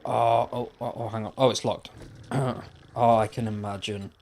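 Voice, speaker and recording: A man's voice: mid-range voice, steady tone, a little slow, darkish tone. Man musing aloud while gaming. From Wales. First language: Welsh. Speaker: gaming livestreamer. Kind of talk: casual chat while playing.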